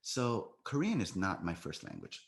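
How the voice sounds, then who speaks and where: A man's voice: deep voice, huge pitch swings, normal speed, gravelly. An American man from the United States giving a sales training talk on a video call, coaching listeners and often checking in with "right?".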